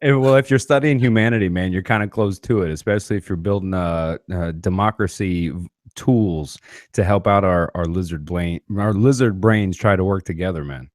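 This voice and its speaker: A man's voice: low-pitched, normal speed, no echo, studio quality. A man hosting a podcast, chatting loosely and rambling, often calling his guest "man". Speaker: podcast host. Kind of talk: casual interview chat.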